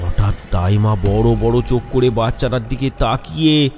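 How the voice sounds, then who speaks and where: A man's voice: mid-range voice, moderate pace. A man narrating a horror story in a dramatic, scripted storytelling style. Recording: music behind the voice.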